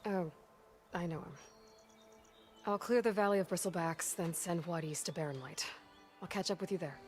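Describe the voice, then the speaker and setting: A woman's voice: lowish voice, normal speed, faint music behind, lightly acted. A woman acting a determined, guarded young warrior in a video game cutscene, earnest, blunt and restrained. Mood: calm, matter-of-fact. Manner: earnest, restrained, often hushed.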